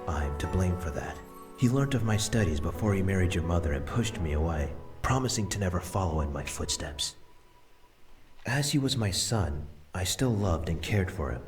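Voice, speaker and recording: A man voicing a deep-voiced loving grandfather spirit. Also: animated, moderate pace. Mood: tender. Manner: soft and tender, explaining patiently. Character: gentle elderly grandfather's spirit, loving and regretful. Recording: music bed under voice.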